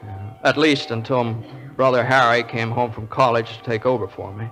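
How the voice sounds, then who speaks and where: Actor as earnest American young man, bright voice. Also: mid-range voice, fairly even intonation, natural voice, rough recording. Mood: reflective, wistful. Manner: halting, earnest, repeats himself. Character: earnest, restless small-town young man, stubbornly principled. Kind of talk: old radio drama acting and narration. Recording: old radio recording, faint music behind.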